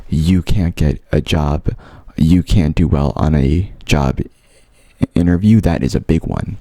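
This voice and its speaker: A man's voice: a bass voice, unhurried, dark tone, no echo, studio quality. A young man with a stutter, earnestly telling his own story to his viewers, repeating sounds and words as he goes. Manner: earnest, open. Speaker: vlogger. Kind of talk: personal storytelling to camera.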